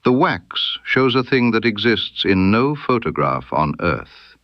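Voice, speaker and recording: A man voicing a stern, incisive detective in an audiobook mystery, precise and emphatic, with dramatic pauses. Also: on the low side, sing-song, no echo, lo-fi sound. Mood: grave, certain. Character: stern, incisive male detective laying out his case.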